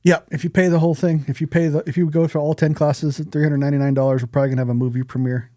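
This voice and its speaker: A man's voice: fairly high pitch, level tone, racing, dark tone, no room sound, studio quality. A man co-hosting a podcast, talking casually in a blunt, profane way. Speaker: podcast co-host. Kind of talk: casual podcast chat about hunting and events.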